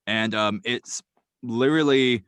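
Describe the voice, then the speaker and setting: A young man, a guest writer, speaking casually and quickly with many fillers like "um" and "like.". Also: mid-range voice, fairly bright tone, clean tone, no room sound. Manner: quick, filler-heavy, thoughtful. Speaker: guest, audio drama writer. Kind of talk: casual interview talk.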